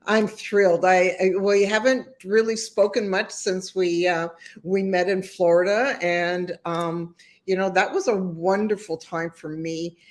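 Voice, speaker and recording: A woman's voice: on the low side, steady tone, unhurried, few pauses. Effusive woman hosting a live chat. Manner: warm, effusive, rambling with fillers. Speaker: host. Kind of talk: casual live-stream interview.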